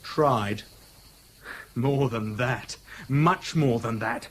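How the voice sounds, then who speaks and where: A man's voice: mid-range voice, drawn-out words, fairly bright tone. An actor playing a vengeful, menacing British man in a radio drama, telling things in clipped, sardonic phrases. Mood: bitter, taunting. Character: vengeful, taunting man bent on avenging his father. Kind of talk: BBC radio drama acting.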